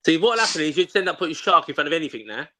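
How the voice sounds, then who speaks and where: A man's voice: a little high, lively intonation, very fast, crowd noise. A man, a former player chatting on a fan show, telling rambling stories with plenty of banter. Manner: chatty, anecdotal storytelling full of banter. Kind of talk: casual chat.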